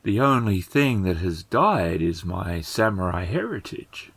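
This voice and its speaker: Man voicing a gentle ghost of a samurai farmer, low and gravelly, halting, plain-spoken, tabletop game. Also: big pitch swings, no echo, full character voice. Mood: quietly content.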